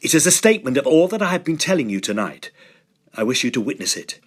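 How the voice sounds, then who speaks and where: A man playing a determined, agitated young gentleman in a Victorian horror drama, speaking earnestly and emphatically in formal phrases. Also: mid-range voice, sing-song, fast. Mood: grave, resolute.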